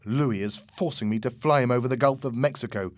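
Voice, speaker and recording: A man voicing a decent, anxious captive pilot in an audiobook story, speaking quietly and earnestly. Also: medium pitch, brisk, no echo, natural voice. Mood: hushed, warning. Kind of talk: audiobook character acting.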